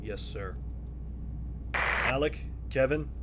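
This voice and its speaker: A man's voice: medium pitch, slow pace, close to an everyday voice. A man playing an anxious, dutiful American soldier in an audio drama, worried and deferential. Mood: uneasy. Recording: very noisy battlefield radio scene.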